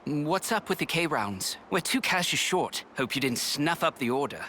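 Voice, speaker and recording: A man with a British accent voicing a cynical, gossipy, complaining low-ranking soldier in a video game. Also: very animated, measured pace, bright, forceful tone, a little hiss or hum, full character voice. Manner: colloquial, complaining. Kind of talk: scripted video game voice acting.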